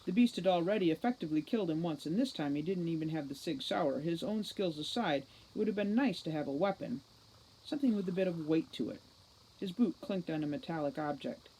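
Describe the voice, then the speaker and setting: A woman giving an expressive reading of a fantasy novel aloud, like a teacher reading to a class. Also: on the low side, fairly quick, breathy edge, low-quality recording.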